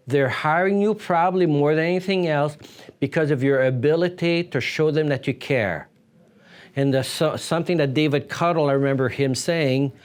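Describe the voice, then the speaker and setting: A man hosting an instructional business advice video as an accountant, speaking in an emphatic, deliberate way that stresses key words. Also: on the high side, smooth. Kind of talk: instructional advice talk to camera.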